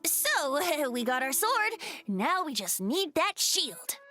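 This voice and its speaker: A woman's voice: high-pitched, big pitch swings, slow, sharp tone, heavily acted. A woman voicing a youthful male bard who is a wind spirit in a game, playful and wise, with a lilting, storytelling way of talking. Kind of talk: game voice acting.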